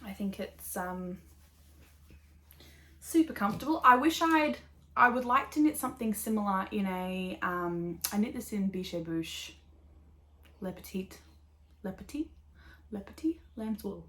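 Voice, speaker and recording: A woman's voice: medium pitch, expressive, a little slow, long pauses, a bit bright. A woman in her thirties with an Australian accent, hosting a casual knitting podcast, talking upbeat and rambling with lots of fillers and little laughs. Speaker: podcast host.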